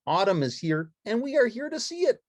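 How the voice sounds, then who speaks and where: A cheerful young puppet boy, acted by a woman for a children's storybook. He speaks far above an everyday pitch and swoops about excitedly, full of wide-eyed exclamation. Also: fairly quick, dry recording, clean studio sound. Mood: bright wonder. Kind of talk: children's storybook read-along character voice.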